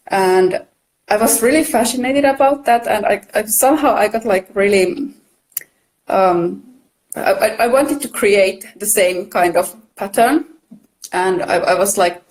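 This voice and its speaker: A woman from Finland, a native Finnish speaker, talking casually as a knitwear designer guest in a live video chat, soft-spoken and friendly. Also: mid-range voice, measured pace, poor audio.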